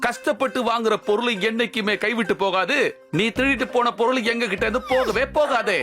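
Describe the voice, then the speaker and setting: A man's voice: very high voice, fairly even intonation, fairly quick, sharp tone. A man voicing a bossy, declamatory animal character in a cartoon dub. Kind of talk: Tamil cartoon dub acting. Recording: music under the voice.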